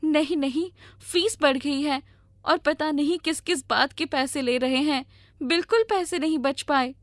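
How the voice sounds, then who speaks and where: Woman voicing a scheming wife, higher-pitched and complaining. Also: unhurried. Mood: evasive. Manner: complaining, dismissive. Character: scheming, resentful young wife who lies and grumbles. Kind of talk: animated storybook dialogue.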